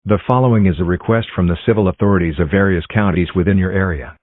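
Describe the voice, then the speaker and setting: A man's synthetic-sounding voice reading a formal emergency broadcast announcement in a flat, impersonal way. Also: low voice, fast, very noisy. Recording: muffled narrow-band phone-like audio, dry.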